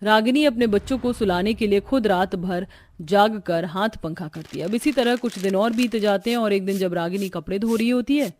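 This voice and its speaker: A woman tells a scripted family cartoon story in a medium-pitched voice. She works as the narrator, with music playing behind her. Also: moderate pace, darkish tone, a little hiss or hum.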